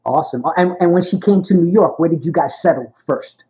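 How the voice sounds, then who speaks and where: A man's voice: on the high side, a little fast. Friendly male archivist, muffled. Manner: friendly, affirming, keeps saying "got it" and "awesome". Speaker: interviewer, archivist. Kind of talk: oral history interview.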